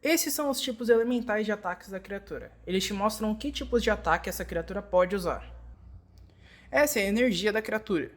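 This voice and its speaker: A woman's voice: mid-range voice, a little fast. A woman with a Brazilian accent reads scripted instructional narration, explaining things step by step as in a fan-dubbed tutorial.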